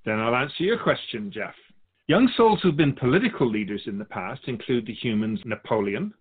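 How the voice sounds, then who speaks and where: A man speaking as a spiritual guide, explaining things in a measured, didactic, conversational way. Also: medium pitch, slightly rough, narrow, tinny audio.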